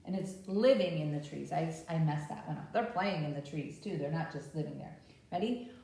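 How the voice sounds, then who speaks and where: A woman's voice: low-pitched, moderate pace, vocal fry, mellow. A woman presenting a children's storytime, teaching in simple explanations and asking the children frequent questions. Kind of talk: interactive children's read-aloud and teaching talk. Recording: very echoey room, distant microphone.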